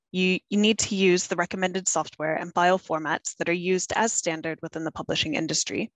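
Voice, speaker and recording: A woman presents prepared material in a webinar, explaining it step by step. Her voice sits a little low, and the recording is dry. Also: dry recording, rough recording. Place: indoors, webinar call.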